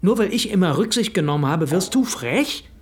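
A brash, crude businessman in a radio drama. His voice is husky and swings widely in pitch as he blusters and jeers with coarse self-righteousness. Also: animated, normal speed. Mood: indignant. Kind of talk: radio play acting.

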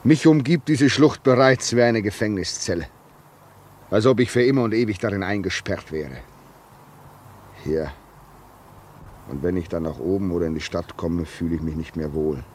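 A male actor playing a lonely, fearful and suspicious railway signalman in a German radio play, brooding and halting with sudden intensity. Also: low-pitched, darkish tone, a little hiss or hum, close to an everyday voice. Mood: lonely, confiding.

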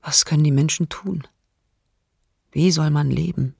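A woman playing a bitter, frightened diarist under occupation, with a husky, breathy voice, in a radio docudrama. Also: sits low, fairly even intonation, darkish tone, no room sound, studio quality, full character voice. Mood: despairing. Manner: slow, halting, sometimes near whisper. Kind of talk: intimate radio docudrama monologue.

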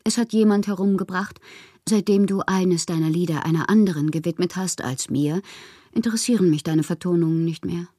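A woman's voice: on the low side, a little fast, dark tone, dry recording, clean studio sound, lightly acted. An actress playing an impassioned, proud and whimsical woman poet who reads out her letters theatrically in a radio drama. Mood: wounded, cool. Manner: theatrical, capricious, swinging from wounded to playful.

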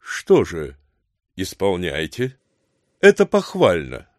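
A big boss official in a radio play, deep and slow, dignified, voice swinging all over. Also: male voice, wildly swooping pitch, a little mellow, no room sound, clean studio sound, full character voice. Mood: pompous approval. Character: approving male superior, high-ranking dignified official. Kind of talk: audio drama acting.

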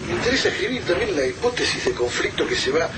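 Inquisitive, formal guerrilla chronicler for radio drama; higher-pitched, over heavy scene noise. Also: male voice, very animated, quick pace, recorded from a distance. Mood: earnest curiosity. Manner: formal, questioning. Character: inquisitive male guerrilla scribe, deferential to officers.

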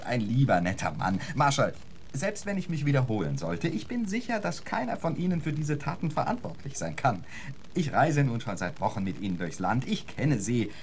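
A man's voice: medium pitch, very animated, normal speed, slight background noise. A man playing a genteel, theatrical lecturer in a radio drama, protesting politely in flowery, mannered phrases. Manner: flowery, mannered, eager to persuade. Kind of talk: German radio drama acting.

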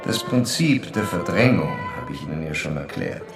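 An actor playing a stern, pedantic psychoanalyst in a radio play, lecturing and condescending. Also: male voice, a bass voice, animated, moderate pace, gravelly, music in the background, full character voice. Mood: condescending, analytical. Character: stern, pedantic male psychoanalyst probing a patient.

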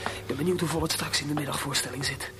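A man acting in a radio play as an excitable, aggrieved composer short of money, stammering and exclaiming. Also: a little high, fast, close to an everyday voice. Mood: muttering, elated. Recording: noisy radio play recording.

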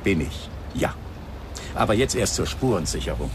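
Radio crime drama inspector, older man, low-pitched and lively in melody, dry, wry, gruffly in charge. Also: normal speed, background noise. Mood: brisk, probing. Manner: dry, sardonic, gruffly commanding. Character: older male police inspector, dry and sardonic.

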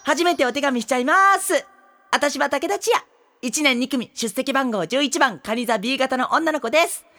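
A coy teenage girl in an anime-style audio drama, reciting a love letter. Her high voice is sugary, girlish and bashful. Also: moderate pace, sharp tone. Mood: giddy, coy.

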